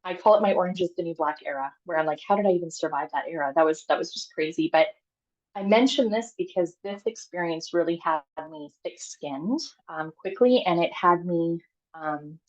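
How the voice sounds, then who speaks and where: A woman's voice: on the low side, brisk, dull audio. A woman in her thirties from Canada gives a candid, chatty personal talk as a guest speaker on a call, with many fillers and restarts.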